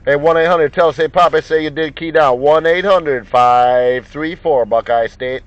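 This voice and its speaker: A man's voice: a little high, normal speed, noisy background. A man making CB radio contacts, repeating names and call signs in chatty operator patter. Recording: dull, muffled lo-fi sound.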